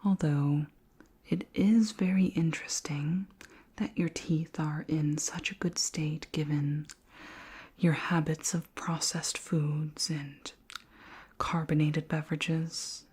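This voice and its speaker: A very breathy, whispered alien queen acted by a woman for an ASMR roleplay. She is curious and flirty, with a formal, clinical politeness. Her speech is slow and gentle, pitched low. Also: steady tone, heavily acted. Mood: fascinated.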